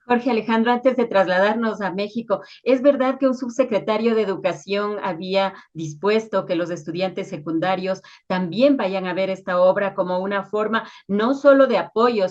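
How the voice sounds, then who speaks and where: A woman's voice: medium pitch, normal speed, hardly any pauses, a little mellow, highs cut off. A woman interviewing a guest in a journalistic, conversational style.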